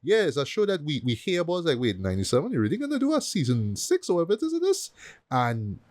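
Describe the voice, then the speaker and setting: A man's voice: on the high side, huge pitch swings, fast talker, a little mellow, no echo, clean studio sound. A man hosting a podcast, giving reviews in a casual, conversational way, often tagging sentences with "though" and "right". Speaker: podcast host.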